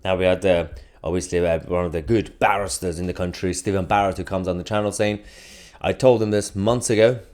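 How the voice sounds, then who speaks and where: A man's voice: low-pitched, fairly even intonation, brisk, a little mellow. A man hosting an online news channel, delivering opinionated commentary in emphatic bursts. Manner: emphatic, rising and falling in bursts.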